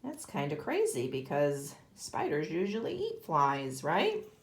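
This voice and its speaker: A woman kindergarten teacher reading a picture book aloud expressively to young children, pausing to ask them questions. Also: low-pitched, wildly swooping pitch. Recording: roomy, echoey sound.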